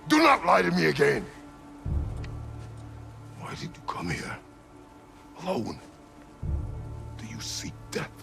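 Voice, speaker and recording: An actor playing a stern, protective warrior father in a video game, gruff and gravelly, speaking in terse formal commands. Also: huge pitch swings, takes it slowly, pauses often, bright, forceful tone, soft background music, full character voice. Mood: furious. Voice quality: deep, gravelly. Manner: terse, commanding, formal phrasing without contractions. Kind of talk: dramatic video game acting.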